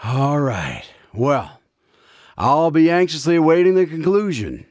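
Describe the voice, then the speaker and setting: A man's voice: fairly high pitch, very animated, unhurried, darkish tone, no echo, clean studio sound. A man hosting and announcing a scripted radio drama, with guitar music behind him. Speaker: host and announcer.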